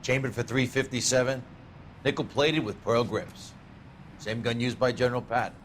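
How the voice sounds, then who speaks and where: Guy acting proud american gun dealer, knowing salesman patter, medium pitch, slight noise. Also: steady tone, moderate pace, a bit bright, lightly acted. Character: proud, helpful male gun shop owner. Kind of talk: video game dialogue, period detective drama. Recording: faint background noise.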